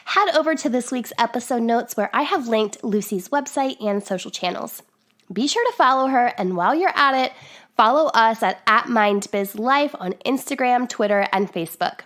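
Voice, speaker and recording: A bubbly woman hosting her podcast, her slightly high voice a little nasal from sinus congestion. She gushes with praise and laughs easily. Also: expressive, normal speed, a bit bright. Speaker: podcast host. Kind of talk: casual interview chat.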